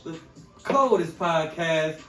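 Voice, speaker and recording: A man's voice: a little high, expressive, slow pace, far from the mic. Hype podcast host man, echoey room. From Chicago. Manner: loose, hype, swears a lot. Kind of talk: casual chat.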